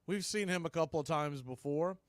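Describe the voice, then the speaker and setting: A man hosts a live baseball radio broadcast, calling the game pitch by pitch. His voice sits a little high for a man and is recorded dry and close. He talks in clipped phrases, slipping in short asides between pitches. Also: fairly quick, dry recording. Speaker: baseball play-by-play announcer and show host.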